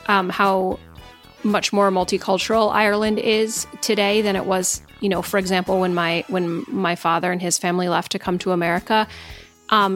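A woman co-hosts a podcast in casual, filler-laden chat. Her pitch is middling and her tone stays level and flat. Faint music sits under her voice. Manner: chatty, many "um" and "you know" fillers. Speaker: podcast co-host.

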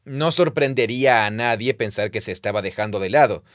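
A man dubbing a podcast co-host, explaining things with quick affirmations and light laughs, recorded in a studio. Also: lowish voice, no echo, close to an everyday voice. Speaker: podcast co-host, Spanish dub. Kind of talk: dubbed conversational podcast.

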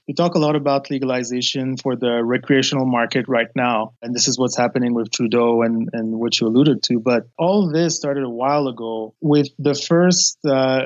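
A man's voice: mid-range voice, a little mellow, no echo. A man with a Quebec French accent, a guest, explaining things step by step. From Haiti, raised in Montreal. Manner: explains step by step, slight grammar slips. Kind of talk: explanatory interview talk. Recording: dull lo-fi dry sound.

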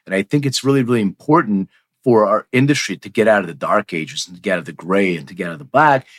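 A man's voice: fairly low pitch, lively intonation, fast, dry recording, studio quality. A man hosting a podcast in a casual, rambling conversational interview style. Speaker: podcast host.

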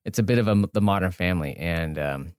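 A man's voice: low-pitched, brisk, smooth, dry recording, clean studio sound. A man in his forties giving a casual interview as a guest, informal and self-effacing, rambling a little as he explains. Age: 40s. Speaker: podcast guest, business author. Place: indoor studio space.

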